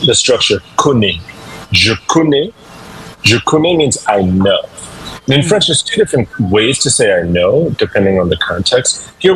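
A man's voice: fairly low pitch, fairly bright tone, rough recording. A man working as a French teacher, explaining things conversationally in an encouraging, instructive way, with noticeable background noise. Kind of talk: conversational language lesson.

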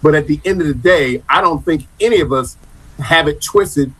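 A man speaking as a guest on a radio panel, measured and reassuring, stuttering as he starts a point. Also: a little high, fairly bright tone, slightly rough, poor audio. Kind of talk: call-in radio panel talk.

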